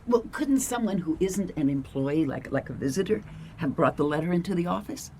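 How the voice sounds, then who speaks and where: An actress playing a curious, plucky detective's assistant in a radio drama, inquisitive and slightly teasing. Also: female voice, lowish voice, lively intonation, a little fast, warm tone, slight background noise. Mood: tentative.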